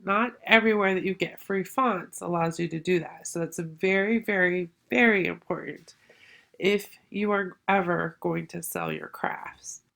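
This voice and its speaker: A middle-aged woman hosting a craft tutorial, explaining software step by step in a friendly way, sounding congested from a sinus infection. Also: on the low side, normal speed, creaky. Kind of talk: screen-share software tutorial.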